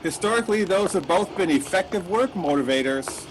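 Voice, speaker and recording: Guy doing a high swoopy nervous callous boss in a radio satire, noisy recording. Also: animated, fairly quick. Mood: complaining. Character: jittery, callous male meatpacking boss named Rat. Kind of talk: satirical radio play acting.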